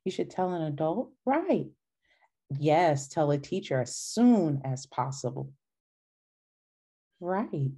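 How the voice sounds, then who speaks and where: A young woman with a fairly low, mellow voice leads a storytime for children. She speaks encouragingly and repeats things for young listeners, over a video call from home. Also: very animated, slow, long pauses, slightly rough. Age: young adult. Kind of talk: children's storytime reading and discussion. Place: small indoor room at home. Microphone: video call, laptop microphone.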